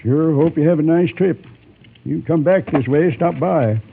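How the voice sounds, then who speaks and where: Folksy high-pitched frontier gunsmith. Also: male voice, creaky, phone-quality sound. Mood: friendly, chatty. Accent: American. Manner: folksy, plain-spoken, drawn-out homespun phrasing. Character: folksy, unflappable frontier gunsmith, chatty and firm. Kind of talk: old-time radio western acting. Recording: thin, faintly noisy old radio broadcast.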